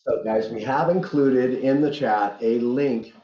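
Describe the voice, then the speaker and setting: A man's voice: medium pitch, echoey room, highs cut off. A man hosting a racing livestream in a folksy, earnest way, speaking while under the weather with a head cold. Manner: folksy and earnest, halting at times. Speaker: livestream host. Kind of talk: casual livestream commentary.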